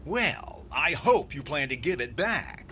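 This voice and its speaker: An actor playing a fussy, exasperated radio show host with deliberate, theatrical comic timing in 1950s style. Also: male voice, fairly high pitch, big pitch swings, very noisy. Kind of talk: 1950s radio comedy acting.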